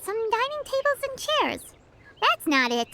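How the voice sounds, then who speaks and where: Tiny squeaky fairy sidekick girl for a game, super high, swoops all over, loud sfx behind. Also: wildly swooping pitch, measured pace, heavy background noise, heavily acted. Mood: curious, chatty. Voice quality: squeaky, childlike. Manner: chirpy, exclaiming. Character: tiny cheerful excitable fairy companion girl. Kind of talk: video game voice acting.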